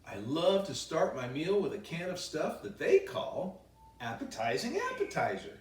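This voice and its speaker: Animated man reading aloud, echoey and distant. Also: a little high, normal speed. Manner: playful, expressive, with chatty asides. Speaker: children's author reading his own book. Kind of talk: animated bedtime story read-aloud. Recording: roomy echo, distant microphone.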